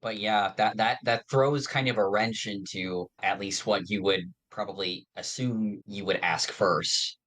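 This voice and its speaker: A distinctly low voice co-hosts a fan podcast in relaxed conversational style. The talk rambles, with slang and occasional mild swearing. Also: unhurried, hardly any pauses. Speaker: podcast co-host. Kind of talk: casual fan discussion.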